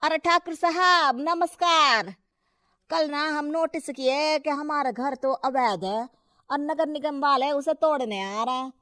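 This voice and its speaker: A flattering small-town local, acted by a woman in a cartoon moral story. The voice is high and eager to please, with a dry, close sound. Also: unhurried, dry recording. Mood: pleading. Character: deferential, flattering townsfolk of a ward.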